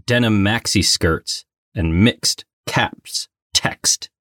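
A man's voice: fairly low pitch, very slow, a bit bright, no echo, clean studio sound. A man co-hosting a trivia game with casual banter and a playfully dramatic delivery. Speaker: trivia game show co-host and contestant.

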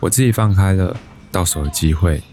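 Timid office guy in his 30s, low voice, taiwanese mandarin, introspective radio drama narration. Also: a little fast, music in the background. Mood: wistful, resigned. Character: despondent, timid young bank employee narrating his life.

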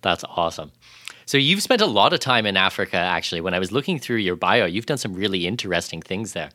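A man's voice: low voice, sing-song, quick pace, sharp tone, no echo, studio quality. A man hosting a podcast interview, chatting in an enthusiastic way and laughing easily. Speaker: podcast host. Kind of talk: casual interview chat.

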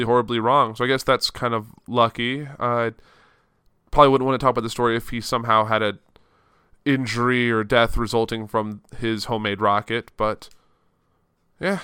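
A man from the Chicago suburbs chats through the week's news on his podcast, rambling and correcting himself as he goes. A cold has his sinuses stuffed up, but his voice still comes across bright and smooth. The recording is dry and close, with no room sound. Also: mid-range voice, dry recording. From Chicago suburbs, Illinois. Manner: casual, rambling, self-correcting. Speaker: podcast host. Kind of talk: solo news-commentary podcast.

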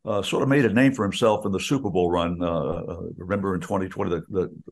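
Older sports radio guy, lowish voice, rambling folksy football chat, lots of uh's. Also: fast talker. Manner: folksy, digressive, many ums and restarts. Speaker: radio play-by-play announcer.

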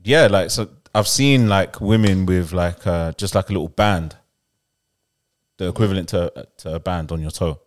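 A young man with a deep, bassy voice, chatting casually on a podcast in a hesitant, filler-heavy way. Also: moderate pace. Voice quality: deep bass voice. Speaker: podcast co-host. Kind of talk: casual chat.

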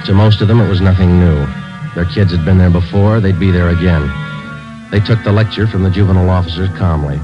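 Actor doing stoic american police sergeant, deep deadpan voice, clipped, old muffled radio show with music behind. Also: male voice, fairly quick, natural voice. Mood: flat, matter-of-fact. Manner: deadpan, matter-of-fact, terse. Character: stoic, terse police sergeant narrating his cases. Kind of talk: 1940s radio acting, clipped case narration.

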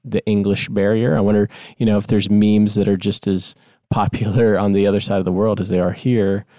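A man co-hosting a comedy podcast, musing in a halting, deadpan way. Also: lowish voice, no echo, studio quality. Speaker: podcast co-host.

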